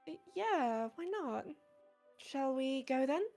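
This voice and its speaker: A woman playing a shy, polite, bookish high school girl with a soft, hesitant delivery in a visual novel game. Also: animated, slow pace, soft background music, full character voice.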